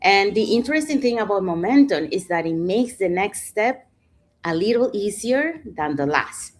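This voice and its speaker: A woman's voice: mid-range voice, animated, normal speed, slight background noise. A middle-aged woman from Panama hosting a live online panel talk, chatty, with many fillers like "you know". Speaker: host.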